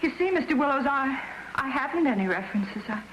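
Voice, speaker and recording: Coy, wheedling young woman, stammering, high-pitched, old film soundtrack. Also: animated, brisk, dull audio, noisy background. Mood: pleading. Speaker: job applicant. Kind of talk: 1940s film acting.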